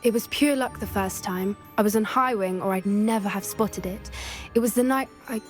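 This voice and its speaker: A gentle, earnest teenage witch with a British accent, acted by a woman for a fantasy game. She confides in a mid-pitched voice, kind and sincere, with music playing behind her. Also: normal speed, close to an everyday voice. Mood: confiding, wistful. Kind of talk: video game cutscene acting.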